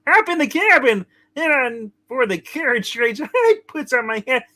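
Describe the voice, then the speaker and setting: A woman voices an exuberant, silly puppet cab driver in a comedic play reading. The voice is high and bright and leaps around in pitch. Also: big pitch swings, normal speed, exaggerated voice. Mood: chirpy. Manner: goofy, exuberant.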